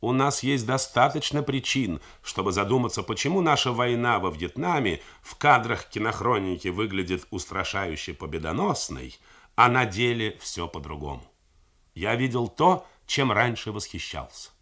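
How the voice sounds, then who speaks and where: A man reads as a sober, grave American colonel who speaks in a low voice that rises and falls widely. The delivery is measured and serious, like a reading for a 1980s radio novel. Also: lively intonation, fairly bright tone.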